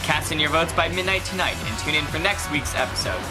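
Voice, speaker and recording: A woman's voice: low voice, animated, brisk, over music. A woman narrating a comedy school project, reading textbook passages aloud.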